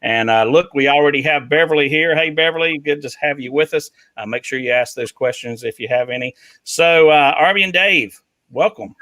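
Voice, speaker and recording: An upbeat man hosts a live talk show, chatting casually with guests and viewers. His voice is bright and forward, recorded dry and close with no room sound around it. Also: on the high side, brisk, dry recording. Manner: upbeat, joking, with many fillers. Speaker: host.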